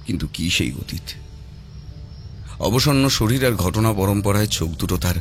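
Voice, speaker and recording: Male horror audiobook narrator, lowish pitch, dramatic suspenseful phrasing, scripted read over loud music. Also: animated, moderate pace.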